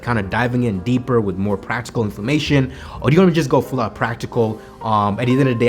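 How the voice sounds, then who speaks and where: A man with a Kenyan accent, vlogging about business with energy, repeating himself and asking "right?" to his viewers. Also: mid-range voice. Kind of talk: motivational business vlog talk. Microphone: camera mic on a home video set.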